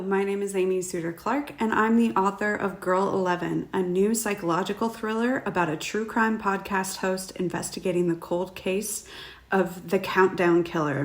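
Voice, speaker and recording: A woman speaking as an author, giving a prepared, explanatory talk to viewers. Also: medium pitch. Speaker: author presenting a trivia question.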